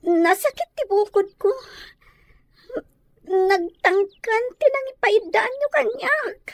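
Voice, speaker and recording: A woman acts a bewildered, hurt young lady in an audio drama. Her voice is extremely high, plaintive and questioning, recorded dry and close. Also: expressive, slow, no room sound, heavily acted. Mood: confused, pained. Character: frightened, bewildered young lady, injured and in pain.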